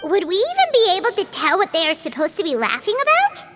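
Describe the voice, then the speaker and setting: A small floating fairy companion who chatters in the third person. The voice sits very high and bounces all over the place. Game music plays steadily behind her. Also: huge pitch swings, fast, exaggerated voice. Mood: curious. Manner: talks about herself in third person.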